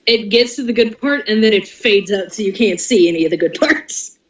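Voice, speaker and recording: A young woman with a medium-pitched voice chats informally on a group call. She sounds set back from the microphone, with clear room echo around her. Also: lively intonation, fairly quick, a bit bright, slight background noise. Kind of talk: casual group call chat. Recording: echoey, distant voice-call microphone.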